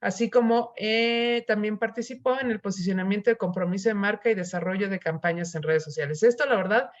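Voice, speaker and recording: A woman's voice: medium pitch, a little fast. Woman introducing a speaker. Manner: reads a prepared bio, then speaks casually. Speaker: moderator. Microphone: video call. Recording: muffled online call audio.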